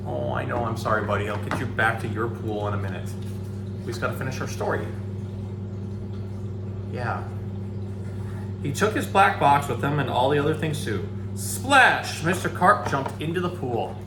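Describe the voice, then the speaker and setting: Guy reading a kids book out loud, really animated, roomy echo, chats to his pet. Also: medium pitch, moderate pace, creaky, long pauses. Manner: expressive, acts out the dialogue. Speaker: storyteller reading a children's book. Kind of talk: animated read-aloud for children with casual asides to a pet turtle. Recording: echoey room, background hum.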